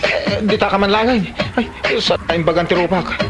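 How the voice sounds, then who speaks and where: A man with an Ilocano Filipino accent playing a boastful, excitable villager who stammers and backs down in a radio comedy drama. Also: high voice, normal speed, music in the background, natural voice. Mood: nervous stammering.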